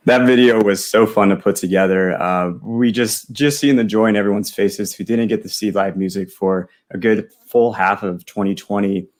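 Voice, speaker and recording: A man's voice: fairly low pitch, steady tone, moderate pace, hardly any pauses, a little mellow. An American man in his thirties presenting products in a casual style as a host.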